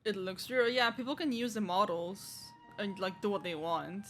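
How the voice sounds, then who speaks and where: A woman's voice: mid-range voice, normal speed, a bit bright, faint music behind. A woman livestreaming a game, giving casual, chatty commentary in an offhand manner. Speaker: game streamer.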